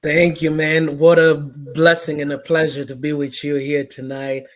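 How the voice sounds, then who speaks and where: Earnest man, fairly even intonation. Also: fairly high pitch, moderate pace. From Kenya. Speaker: guest, pastor and life coach. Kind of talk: earnest personal testimony in an interview.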